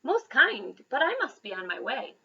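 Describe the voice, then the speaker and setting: A woman's voice: sits high, very animated, moderate pace, sharp tone, slightly rough, dull audio, exaggerated voice. A woman voicing a small, polite, apologetic but persistent male mouse in a children's storybook. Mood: courteous. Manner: courteous, meek.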